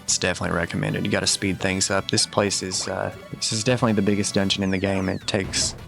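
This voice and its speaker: A low-pitched man talks through a video game walkthrough in a casual, matter-of-fact way. His delivery is extremely monotone and low-key, with a gravelly creak in the voice. Game music plays faintly behind him. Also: quick pace. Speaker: game guide commentator.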